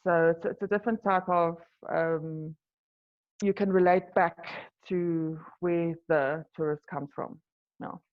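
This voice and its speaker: A woman from South Africa whose first language is Afrikaans talks in a lowish voice. She fills her answers with "um" and "uh" and often restarts phrases. The audio is muffled and lo-fi. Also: fairly even intonation, takes it slowly. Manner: hesitant, many fillers and restarts. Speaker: wine tour business owner. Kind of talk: interview answers.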